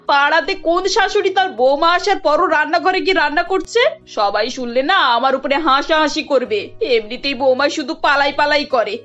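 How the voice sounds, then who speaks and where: Woman acting as elderly mother-in-law, high-pitched, querulous, stubborn, theatrical cartoon delivery, dull audio. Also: fairly bright tone. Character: complaining elderly Bengali mother-in-law, stubborn and self-pitying. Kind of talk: cartoon family drama voice acting. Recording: muffled audio with music behind.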